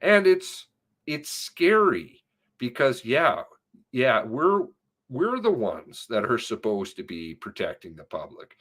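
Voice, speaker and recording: A man reads conversational testimony aloud at an unhurried pace. His pitch sits in a middle range but moves widely, and he leans on certain words to stress them. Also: very animated, fairly bright tone. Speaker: narrator reading an interview transcript aloud. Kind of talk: read-aloud of spoken interview transcript with commentary.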